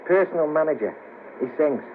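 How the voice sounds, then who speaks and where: Actor as wry, weary Merseyside man, animated pitch. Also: brisk, natural voice. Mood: gloomy. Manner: hesitant, gloomy, dry. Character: down-on-his-luck, wry, weary ex band manager. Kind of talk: 1970s radio play acting. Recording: hissy narrow-band cassette of 1970s radio broadcast.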